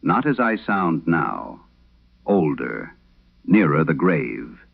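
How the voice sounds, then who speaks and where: An actor playing a grave, uneasy host of a horror radio show, narrating in a solemn, deliberate, ominous way. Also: male voice, extremely low pitch, big pitch swings, creaky, heavily acted. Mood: quietly ominous. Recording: muffled vintage radio sound.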